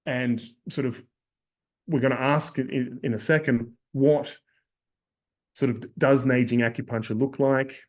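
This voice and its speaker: A hoarse man giving a webinar lecture on acupuncture, thoughtful and full of fillers and restarts. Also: medium pitch.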